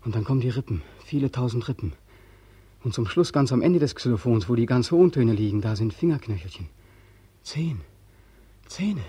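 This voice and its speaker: An actor playing a weary, haunted ex-soldier in a 1940s radio drama, pleading and obsessively repeating himself. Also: male voice, medium pitch, expressive, normal speed, pauses often, mellow, husky, dry recording. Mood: haunted, insistent. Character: weary, haunted ex-soldier back from war, insistent.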